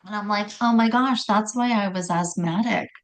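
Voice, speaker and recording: A middle-aged woman with a raspy voice telling her personal story in conversation, often stopping to correct herself. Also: mid-range voice, moderate pace. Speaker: guest telling health story.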